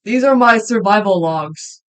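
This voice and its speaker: This young woman's voice sits at an ordinary middle pitch. She chats loosely on a livestream while she draws. Her talk rambles, with frequent ums and self-corrections. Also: animated, unhurried, clear, resonant tone. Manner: rambling, hesitant, many ums and restarts. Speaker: livestreamer and hobby voice actor. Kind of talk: casual livestream chat while drawing.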